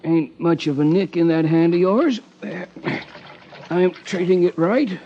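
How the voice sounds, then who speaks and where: A man's voice: sits high, unhurried. An American man playing a folksy, rural small-town barber in 1950s radio drama, plain-spoken and drawling his words. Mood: folksy, unbothered. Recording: muffled, noisy 1950s radio recording.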